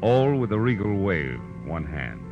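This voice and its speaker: Hard-boiled detective, deep voice, American, wry, clipped, old radio drama, music behind. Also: male voice, big pitch swings, measured pace, dull audio, full character voice. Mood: wry narrating. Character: wry, hard-boiled male private eye. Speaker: lead actor narrating as private detective. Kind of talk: 1940s radio detective acting and narration.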